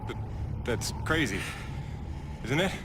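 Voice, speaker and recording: A man's voice: expressive, slow. A man acting a weary, defiant working-man hero in a video game cutscene, with dry, wry delivery. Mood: urgent, resolute. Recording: loud music and engine rumble behind.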